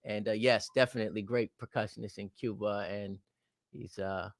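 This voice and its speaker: A man hosting a casual live video chat in a relaxed way, often murmuring agreement as he listens. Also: fairly low pitch, moderate pace, no echo, studio quality. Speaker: host.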